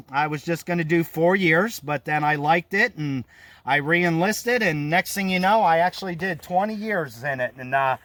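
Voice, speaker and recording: A man's voice: on the high side, a bit bright, dry recording. An American man in his fifties chatting casually as an amateur radio operator, with a friendly, folksy, talkative manner. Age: 50s. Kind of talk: casual ham radio conversation. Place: outdoors on a mountain trail.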